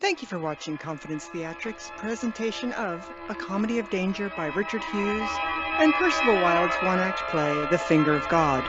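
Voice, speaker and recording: Female announcer, mid-pitched, scripted credit read, slightly muffled lo-fi sound with music underneath. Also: lively intonation, normal speed.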